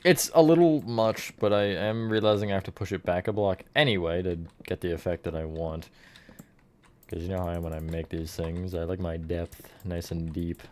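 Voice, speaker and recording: Chill guy streamer, lowish voice, chatting w chat while he builds stuff. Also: moderate pace. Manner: relaxed, chatty, answers chat, counts blocks aloud.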